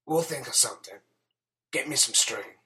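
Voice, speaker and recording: A man's voice: medium pitch, expressive, moderate pace, pushed and bright. A man playing a protective, commanding male survivor in an audiobook, speaking firmly and urgently. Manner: firm, urgent.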